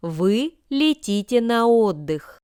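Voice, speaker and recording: A woman reading scripted example sentences for language learners with careful, deliberate enunciation. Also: fairly high pitch, big pitch swings, darkish tone, smooth, no echo, clean studio sound. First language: Russian. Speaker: language lesson reader.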